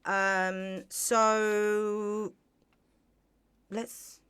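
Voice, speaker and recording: A woman's voice: mid-range voice, level tone, extremely slow, fairly bright tone, clean tone, dry recording. A woman hosting a podcast interview in a studio, chatty and bubbly, laughing often. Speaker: podcast host. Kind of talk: casual interview. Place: borrowed studio. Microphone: studio microphone.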